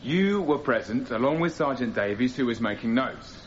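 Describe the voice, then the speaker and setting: An actor playing a young radical defendant who questions coolly and insistently in a radio courtroom drama. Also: male voice, medium pitch, expressive, lightly acted. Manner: deliberate, insistent, probing. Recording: dull radio recording with clear noise.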